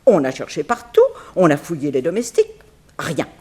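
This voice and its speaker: An actress playing a wealthy, talkative, scatterbrained middle-aged widow in a theatrical, grand and fussy manner, in 1960s French radio drama style. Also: female voice, low voice, sing-song, moderate pace, dark tone, breathy edge, full character voice. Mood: grand, insistent. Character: wealthy, talkative, scatterbrained American banker's widow and society aunt.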